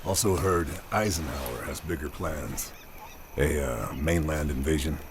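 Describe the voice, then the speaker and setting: Very deep-voiced, laconic wartime sniper. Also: male voice, a little slow, noisy background. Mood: terse, businesslike. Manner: terse, understated, unruffled. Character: gruff, laconic Allied sniper agent on a wartime mission. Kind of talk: video game cutscene acting.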